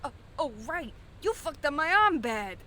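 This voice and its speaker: A terrified young male thug whimpers in a very high, strained pitch in an audio drama. He stammers and pleads, cowed, with faint rumbling noise behind him. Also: big pitch swings, unhurried, pushed and bright, full character voice. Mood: cowed, apologetic.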